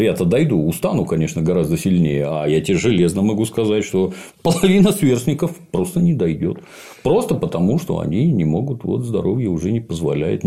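A man in his late fifties with a very low, dark, mellow voice. He talks bluntly and emphatically, full of slang, like someone chatting freely with a friend. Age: 50s. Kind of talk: casual conversation.

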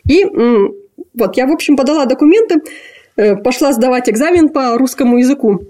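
A young woman from Kazakhstan, a graduate student, talking casually in an interview with many pauses and fillers. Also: high voice, expressive, warm tone. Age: young adult. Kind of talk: casual conversation.

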